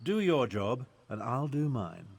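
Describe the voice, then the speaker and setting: An actor playing a proud, touchy, dry-witted male spellcaster in a video game, speaking formally and deliberately. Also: medium pitch, big pitch swings, creaky, breathy edge, dry recording, faint music behind, full character voice. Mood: defensive, curt.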